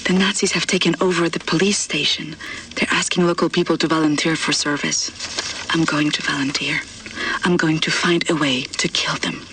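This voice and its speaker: A woman voicing a grave young diary reader in a video game audio log, soft, restrained and matter-of-fact. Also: low-pitched, far from the mic, slight background noise, rough recording. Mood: resolute.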